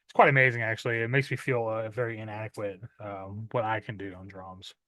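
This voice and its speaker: A man's voice: lowish voice, little pitch movement, brisk, a bit bright. Rambling man with many fillers. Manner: rambling with many "uh" fillers, clears throat often. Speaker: metal music vlogger. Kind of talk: casual unboxing chat.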